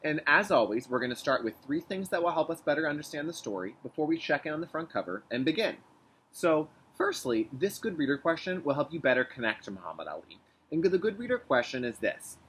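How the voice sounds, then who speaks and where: A male kindergarten teacher with a bright, forceful voice that has a slightly husky edge. He moves between expressive storybook reading and clear explanatory asides for young children, with very animated intonation. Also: medium pitch, low-quality recording. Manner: clear instructional phrasing with check-in questions. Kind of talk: children's read-aloud lesson with explanatory asides.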